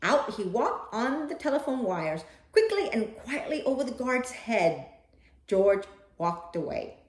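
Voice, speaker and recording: Female children's storyteller, unhurried, very animated pitch, simple direct address, roomy sound. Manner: simple, direct phrasing aimed at young children. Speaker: host of a children's read-aloud show. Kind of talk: expressive storybook read-aloud and talk addressed to children.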